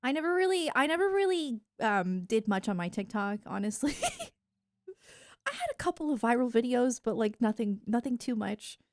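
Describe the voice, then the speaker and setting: A woman's voice: on the high side, very animated, moderate pace, no room sound. A woman with an American accent chats casually to livestream viewers in a rambling, informal, opinionated way, close on a streaming mic. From United States. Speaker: live streamer and content creator. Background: music.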